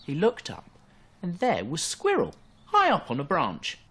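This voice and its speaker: A man reading a bedtime story to young children in an expressive storytelling style. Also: fairly high pitch, unhurried, husky.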